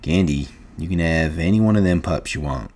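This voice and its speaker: A calm mule driver with natural authority, in an audiobook, played by a man. The voice sits low. He talks in short, laconic, commanding phrases without fuss. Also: brisk. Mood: matter-of-fact.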